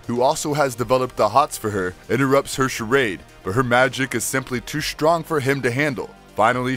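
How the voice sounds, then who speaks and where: A man's voice: mid-range voice, fairly bright tone. A man reading scripted narration that recaps cartoon episodes.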